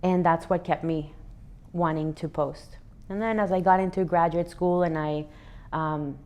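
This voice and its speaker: A woman's voice: on the low side, a little mellow. A woman in her thirties from Venezuela, answering interview questions in an earnest, reflective way with frequent restarts. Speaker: interview guest, athlete and fitness educator.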